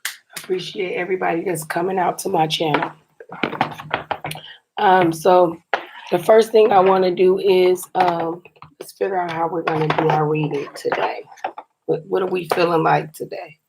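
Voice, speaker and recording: A woman with a low, fairly monotone voice that sounds slightly scratchy and raspy today. She reads tarot cards for her viewers in a chatty, encouraging way and often says "you guys." A steady hiss of noise sits under the recording. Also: moderate pace, long pauses. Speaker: tarot card reader. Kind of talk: casual tarot reading for viewers.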